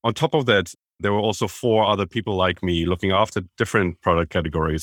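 A man's voice: deep voice, fairly bright tone, no room sound, studio quality. A man from Germany with a German accent, a podcast guest talking at length and with enthusiasm about his career. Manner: enthusiastic, self-described business nerd, explains at length. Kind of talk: conversational interview.

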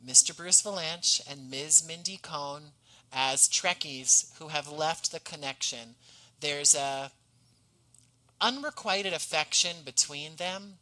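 A man speaking as an interview guest, explaining his creative work in measured, rather formal and articulate phrasing. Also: fairly high pitch, pushed and bright, rough recording. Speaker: podcast guest, audio drama writer.

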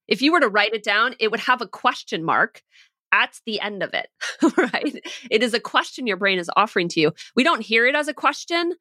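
A woman coaching a client with a bright, crisp voice, recorded dry and close. She explains things animatedly, often voicing the mind's nagging questions aloud. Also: mid-range voice, dry recording. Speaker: life coach. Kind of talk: coaching conversation.

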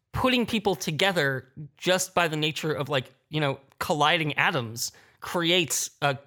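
Man, very bright forward voice, fairly high pitch, roundtable panelist. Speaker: panelist, audio drama creator. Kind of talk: roundtable discussion.

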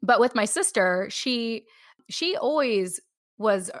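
A woman hosting a podcast, talking in a relaxed, rambling way with many "like" fillers. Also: a little high, slow, no room sound, clean studio sound. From born on a US military base. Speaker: podcast host. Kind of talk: casual conversational podcast.

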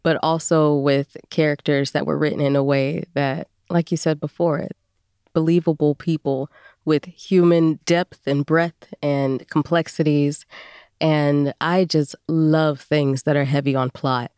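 A woman speaking as a guest performer in a casual interview, with an expressive, emphatic way of talking. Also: deep voice, a little slow, clean tone, no echo, clean studio sound.

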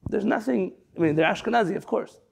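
A man's voice: high-pitched, fast, vocal fry, breathy edge. A man from San Diego, a rabbi giving a chatty, digressive class over a video call, reading out sources and then explaining them. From San Diego, California. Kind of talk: conversational Torah class.

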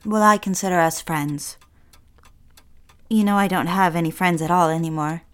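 A woman's voice: fairly low pitch, steady tone, moderate pace, smooth, natural voice. A woman playing an earnest, lonely young woman in an audio drama, prim and coaxing, eager to be a friend. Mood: pleading.

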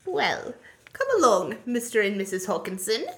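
A woman's voice: medium pitch, big pitch swings, moderate pace. A woman playing a nosy, genial older innkeeper with a theatrical, welcoming manner in a student radio play. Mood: obliging.

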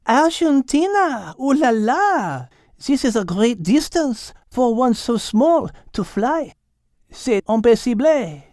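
Man as a high-voiced, composed lady cat. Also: slow, no room sound, studio quality, full character voice. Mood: doubtful. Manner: calm, certain, matter-of-fact. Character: calm, elegant petite female French cat.

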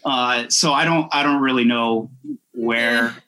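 A man chatting casually as a podcast guest, telling things informally in a halting way with frequent self-interruption. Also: medium pitch, steady tone, sharp tone, low-quality recording. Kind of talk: casual chat, informal storytelling.

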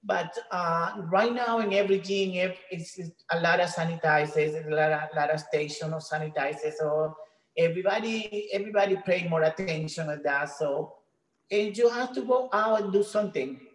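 A woman from Venezuela with a Venezuelan Spanish accent, a gym trainer talking as an interview guest in halting English with many restarts. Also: lowish voice, roomy sound, low-quality recording. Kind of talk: casual interview.

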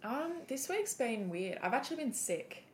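A woman's voice: fairly high pitch, big pitch swings. A woman co-hosting a podcast in a laid-back, casual way. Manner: laid-back, drawn-out, vocal fry. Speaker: podcast co-host. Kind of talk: casual chat.

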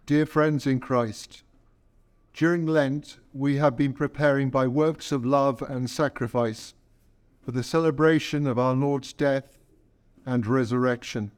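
A man speaking as a priest leading a service, preaching and praying in a measured, solemn way. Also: mid-range voice, fairly even intonation. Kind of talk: sermon and liturgy.

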